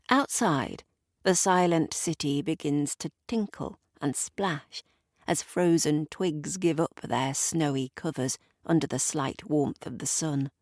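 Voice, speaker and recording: A woman narrating a literary audio drama in a deliberate, dramatic storytelling manner. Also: low voice, a little mellow, dry recording, clean studio sound.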